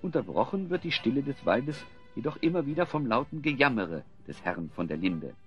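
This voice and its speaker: Male narrator, scripted storytelling, lively intonation, slightly dull sound, music bed. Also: mid-range voice, a little fast.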